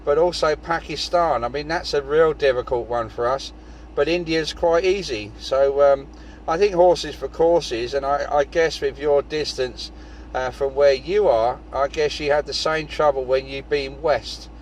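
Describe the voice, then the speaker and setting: A man's voice: fairly high pitch, a little slow. A man with a British accent talks in a rambling, conversational way, as an amateur radio operator in a casual chat. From United Kingdom. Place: in a parked vehicle outdoors. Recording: clear vehicle and outdoor background noise.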